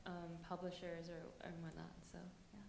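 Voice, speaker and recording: A woman's voice: fairly low pitch, completely flat delivery, moderate pace, gravelly, darkish tone. A woman artist talking casually to an audience, rambling with lots of fillers and nervous little laughs. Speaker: artist panelist. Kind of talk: casual talk to a live audience. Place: conference hall. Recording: echoey room with faint ambience.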